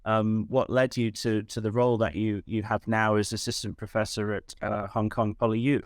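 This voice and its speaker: A man's voice: lowish voice, little pitch movement, moderate pace, no room sound, clean studio sound. A man hosting a podcast interview who often repeats small words as he talks. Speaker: podcast host. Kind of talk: casual interview chat.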